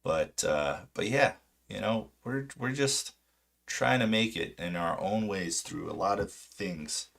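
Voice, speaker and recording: A man in his late thirties, from Poland and raised in California, chatting casually and unscripted on a livestream in a halting, self-deprecating, rambling way. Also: lowish voice, expressive, vocal fry, a bit bright. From Poland until age seven, then southern California. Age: late 30s. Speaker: livestream host, amateur retail trader.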